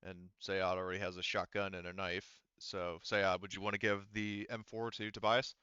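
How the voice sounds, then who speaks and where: Casting: male game master, lower-pitched, casual and sweary storytelling, close dry but slightly dull recording. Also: flat delivery, moderate pace, pushed and bright, clean tone, no room sound. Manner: casual, profane. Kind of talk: narrating a tabletop roleplaying game.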